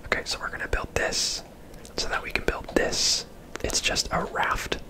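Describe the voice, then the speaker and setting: A person whispering casual, chatty game commentary in an ASMR style, rambling off into asides, close to the microphone. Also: rough recording. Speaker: video game streamer and host.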